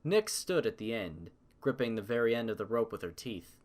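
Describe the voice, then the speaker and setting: A man's voice: on the low side, big pitch swings, normal speed. A man reading scripted story narration as the narrator.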